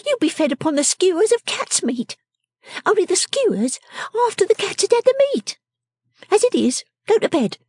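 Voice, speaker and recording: Storybook role: scolding young girl dressmaker, very high swooping voice, snappish, bossy and emphatic. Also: sing-song, normal speed, mellow, no room sound, studio quality. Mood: angry, snapping. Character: shrewish, bossy, sickly young girl dressmaker, sharp-tongued. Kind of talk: storybook character acting.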